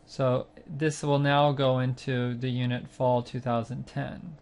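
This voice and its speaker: A man's voice: medium pitch, flat delivery, unhurried, a little mellow, clear, resonant tone. Man walking through a web tool. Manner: plain, step-by-step explaining with fillers. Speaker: site instructor demonstrating software. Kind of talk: screencast tutorial narration.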